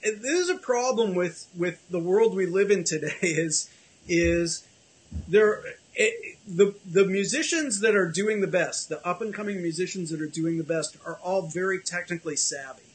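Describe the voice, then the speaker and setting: Middle-aged guy hosting a video call, kinda high voice, rambly and self-deprecating, lots of restarts. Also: normal speed, rough recording. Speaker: host. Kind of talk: casual chat on a video call. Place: home office with bookshelves.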